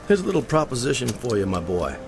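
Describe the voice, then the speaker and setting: An actor playing a self-assured older colonel with a courtly, dry manner in a radio drama. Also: male voice, mid-range voice, big pitch swings, quick pace, vocal fry, music in the background.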